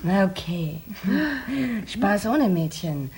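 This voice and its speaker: A woman's voice: on the low side, slow pace, background noise. A woman playing a worldly, teasing space worker, dry and theatrical, in a science fiction radio play. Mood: teasing, dramatic. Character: worldly, teasing female space worker, self-styled seductress.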